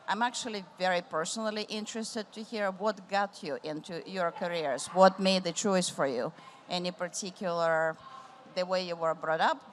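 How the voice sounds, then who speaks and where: A woman's voice: on the low side, slight background noise. Woman moderating a conference panel. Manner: warm, rambling, with restarts. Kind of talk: live panel discussion. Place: conference stage.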